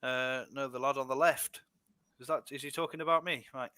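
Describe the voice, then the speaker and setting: A man's voice: medium pitch, steady tone, fairly quick, sharp tone, clean tone, no room sound. A young Scottish man hosting a casual streaming podcast, reading out viewer comments and chatting with a laugh in his voice. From Scotland. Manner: chatty, laughs easily, restarts a lot. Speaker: podcast host.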